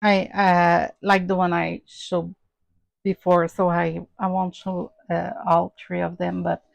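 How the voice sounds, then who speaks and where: Slow, hesitant woman with a Québécois French accent. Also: fairly low pitch, flat delivery, vocal fry, no echo. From Quebec, Canada. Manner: hesitant, many "um" and "uh" fillers. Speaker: crafting channel host. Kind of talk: casual show-and-tell vlog.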